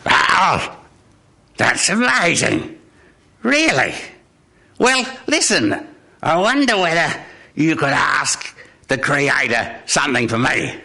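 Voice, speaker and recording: A man's voice: on the high side, big pitch swings, slow pace, gravelly, pauses often, sharp tone, heavily acted. A man voicing a miserable, weak wolf in a live told story, questioning and pleading. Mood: amazed, hopeful.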